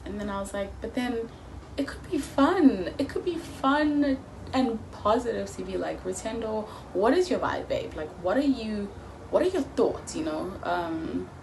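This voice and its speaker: A woman's voice: on the low side, creaky, background noise. A woman in her early twenties talking through her thoughts in a personal vlog, earnest and musing, often dropping to a near-whisper. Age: 20s. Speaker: vlogger.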